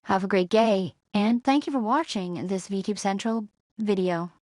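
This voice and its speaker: A man's voice: sits high, a little slow, a little mellow, no echo, voice pushed up. Man as an upbeat, voice-changed female streamer, dry sound. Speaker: video host. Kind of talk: casual promotional narration.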